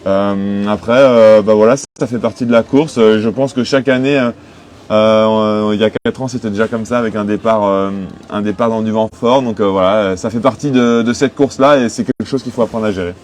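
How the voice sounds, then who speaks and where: A man's voice: fairly low pitch, steady tone, a little fast, a little mellow. A man of twenty-five from western France, answering interview questions conversationally as a skipper. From Cholet, western France. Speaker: sailing skipper being interviewed. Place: aboard a sailing boat in port. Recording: noticeable background noise on location.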